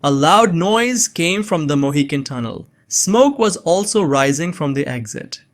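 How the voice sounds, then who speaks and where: A man's voice: on the high side, animated, measured pace. A young man giving earnest, scripted first-person narration of a suspense story. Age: young adult.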